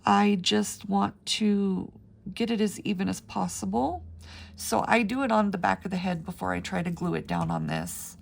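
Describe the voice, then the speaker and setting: A middle-aged woman, raspy from the flu, chatting her way through a paper crafting tutorial with plenty of ums. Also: medium pitch, expressive, moderate pace. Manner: chatty, rambling with frequent ums. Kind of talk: casual step-by-step craft tutorial.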